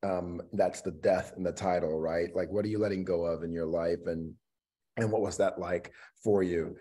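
A middle-aged man speaking casually in a panel conversation, halting and reflective, trailing off between phrases. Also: low-pitched, steady tone, mellow. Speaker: actor and theatre artistic director. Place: indoor room at home, video call. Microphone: video call microphone.

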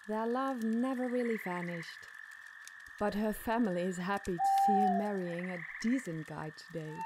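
Woman narrating a scary story, noisy background. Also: medium pitch. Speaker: podcast storyteller.

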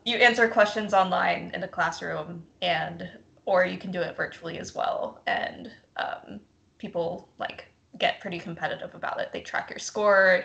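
A woman's voice: mid-range voice, vocal fry, bright, forceful tone. A young woman from Mississippi explaining a campus program over a video call, chatty and full of ums. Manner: chatty, frequent ums and likes. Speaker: campus prevention educator.

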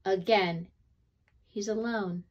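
A woman reading a children's picture book aloud expressively, with deliberate dramatic pauses between phrases. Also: medium pitch, steady tone. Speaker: read-aloud storyteller.

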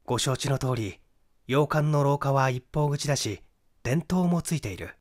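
A man voicing a methodical, assured young amateur detective in an audiobook, with deliberate, emphatic explanation. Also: medium pitch, expressive, natural voice. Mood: deliberate reasoning.

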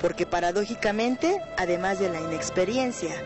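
A woman reporter reading a serious, measured news voice-over. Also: on the low side, music in the background. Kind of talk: scripted news narration.

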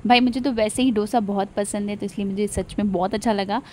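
A woman's voice: mid-range voice, steady tone, fast talker, a little mellow, slight background noise. A woman in her thirties chatting through a home cooking vlog, slipping English phrases into her talk. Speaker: cooking vlogger. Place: home kitchen, indoors.

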